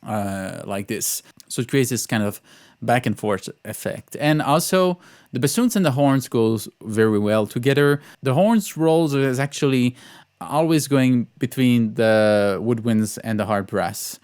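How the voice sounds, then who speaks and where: Clear-voiced man explaining, halting as he goes. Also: mid-range voice, expressive, darkish tone. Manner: halting, thinking aloud. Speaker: composer teaching orchestration. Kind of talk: informal tutorial explanation of own music.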